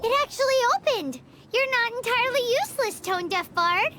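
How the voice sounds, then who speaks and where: A woman voicing a tiny, cheeky, excitable fairy girl in a video game, squeaky and childlike with blunt, bubbly delivery. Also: a little fast, slight background noise, exaggerated voice. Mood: excited, cheeky.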